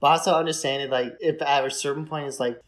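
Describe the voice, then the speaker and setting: A man's voice: medium pitch, fairly even intonation, fast. A man co-hosting a casual discussion podcast, speaking informally and peppering his sentences with filler words. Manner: informal, frequent use of "like". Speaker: podcast co-host.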